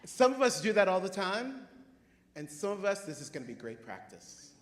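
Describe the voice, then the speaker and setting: A man in his forties moderating a live panel, upbeat and punchy, with quick one-word affirmations. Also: high-pitched, very animated, echoey room, long reverb tail. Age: 40s.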